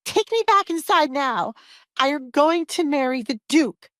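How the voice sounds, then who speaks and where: A woman's voice: fairly high pitch, unhurried, no room sound, voice pushed up. A woman voicing a desperate, defiant young noblewoman in an audiobook, urgent and accusing.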